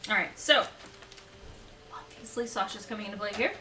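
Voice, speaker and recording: Woman, tabletop player, casual talk, average pitch, roomy echo, distant mic, noisy background. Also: moderate pace, pushed and bright. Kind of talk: casual chat during a game. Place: home, around a gaming table.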